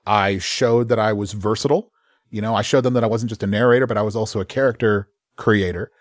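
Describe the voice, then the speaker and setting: A man, a voice actor, chatting casually in an interview and telling stories with lively quick voice changes. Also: lowish voice, no echo, studio quality. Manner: animated storyteller, slips into quick voices. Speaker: voice actor guest.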